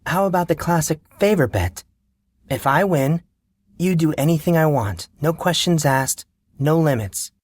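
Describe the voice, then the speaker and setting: A man voicing a smug, sharp-tongued young woman. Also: fairly high pitch, expressive, measured pace, no room sound, pitched above the performer's own voice. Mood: challenging. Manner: dry, sarcastic, teasing. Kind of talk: audiobook character voice.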